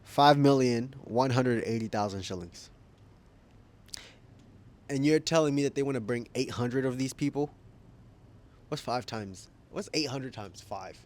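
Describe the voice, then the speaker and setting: A man's voice: medium pitch, measured pace, long pauses, darkish tone. A man making a casual opinion vlog as a YouTuber, rambling, thinking aloud and correcting himself, with frequent chuckles. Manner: chuckles often while talking.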